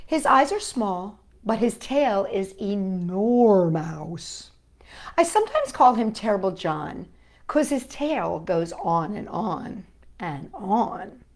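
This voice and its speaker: A woman's voice: mid-range voice, big pitch swings, takes it slowly. Sing-song woman reading stories to kids. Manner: gentle, sing-song, talking to a puppet friend. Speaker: children's storytime host. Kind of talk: reading aloud and singing to a puppet.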